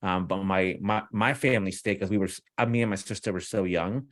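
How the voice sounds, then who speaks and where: Low-voiced, informal man from Peru. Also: steady tone, a little fast, dry recording, studio quality. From Peru, moved to the US as a young child. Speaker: podcast guest, company founder. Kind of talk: casual conversational interview. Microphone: own external microphone on a video call.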